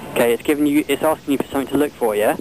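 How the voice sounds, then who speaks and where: A man gives technical help over the phone at a brisk clip. He explains things patiently, step by step, and tries to placate. Clear noise runs under the whole call. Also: medium pitch. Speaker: helpline technical support agent. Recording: noisy telephone call recording.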